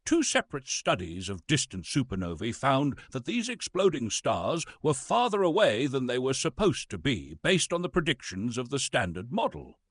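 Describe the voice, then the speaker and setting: A pompous stage actor, played by a man, reads a science lecture as grand theatre. His husky voice leaps dramatically up and down in pitch, in a very dry, close recording. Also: sing-song, normal speed, hardly any pauses, dry recording. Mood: grandly explanatory. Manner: dramatic, pompous, emphatic delivery. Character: pompous, disgruntled male Shakespearean actor reading physics lectures. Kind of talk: theatrical scripted science narration with comic asides.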